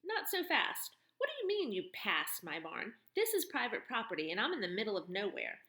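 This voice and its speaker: A woman's voice: mid-range voice, big pitch swings, normal speed, sharp tone. A woman narrator voicing a fierce, sly one-legged woman who questions a boy sharply and mockingly. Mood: interrogating. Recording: roomy echo.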